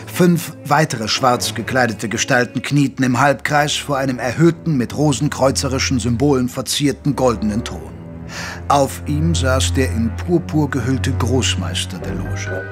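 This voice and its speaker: Male actor as storyteller, mid-pitched, measured literary narration, prominent music bed. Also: natural voice. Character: detective's companion narrating the tale. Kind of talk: scripted dramatic narration.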